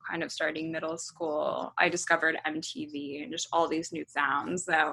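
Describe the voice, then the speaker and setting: A woman in her thirties who grew up in Hong Kong, a musician answering interview questions in a relaxed way, thinking aloud with frequent ums and likes. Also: sits low, moderate pace, gravelly, slight background noise.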